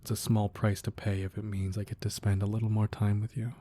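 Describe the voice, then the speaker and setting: A man playing a protective, romantic crime boss husband in an intimate ASMR roleplay, soft and hushed, tender with an edge of menace. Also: on the low side, flat delivery, lightly acted. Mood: wry, affectionate. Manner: slow, deliberate, tender murmuring with pauses.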